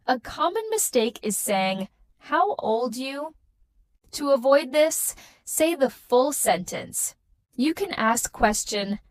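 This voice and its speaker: A woman leads a beginner English lesson, her fairly high voice rising and falling a great deal. She speaks slowly and spaces every phrase carefully. The sound is close and dry, with no room around it. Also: no echo. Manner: slow, carefully spaced, patterned sentences. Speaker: English teacher.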